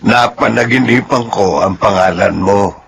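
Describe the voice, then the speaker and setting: Devoted family man, radio drama; very slow, earnest, slightly creaky voice. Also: on the low side, a little hiss or hum. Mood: tender, amused. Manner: sentimental, earnest, halting delivery. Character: tender, devoted adoptive father and husband.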